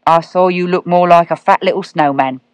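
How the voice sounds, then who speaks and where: A woman's voice: lowish voice, steady tone, no room sound, close to an everyday voice. A woman doing Orion, a drawling kindly cat. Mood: gentle. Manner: drawling, gentle and unhurried. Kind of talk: storybook reading.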